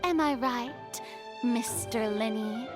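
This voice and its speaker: An actress playing a haughty, theatrical young woman in a video game, delivering pompous, dramatic, drawn-out speech. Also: fairly high pitch, music in the background. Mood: smug, theatrical.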